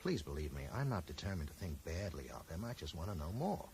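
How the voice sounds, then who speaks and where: Low-voiced, quick, probing man. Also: sing-song, darkish tone. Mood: earnest, courteous. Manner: questioning, measured, courteous. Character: probing, polite bookseller investigating a stranger's death. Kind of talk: 1980s radio drama acting. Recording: slightly noisy old radio broadcast recording.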